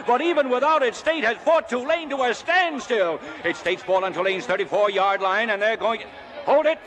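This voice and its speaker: An actor playing an excited football play-by-play announcer on old-time radio, in sports commentary style. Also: male voice, very high voice, moderate pace. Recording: old radio broadcast, crowd noise.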